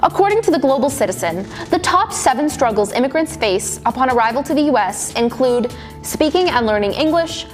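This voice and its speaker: A woman's voice: high-pitched, lively intonation, a little fast, faint music behind. A woman reading scripted documentary narration.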